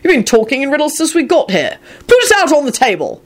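A woman voicing an excitable fatherly man in a story, emphatic and exclaiming, quick to cheer or to outrage. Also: high voice, voice pushed up. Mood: outraged.

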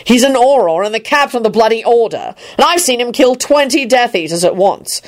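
A woman's voice: sits low, big pitch swings, a little fast, exaggerated voice. A woman voicing a huge, sonorous government minister in a storybook, stern and solemn with a wry streak. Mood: exasperated. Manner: solemn, wry, bellowing when cross.

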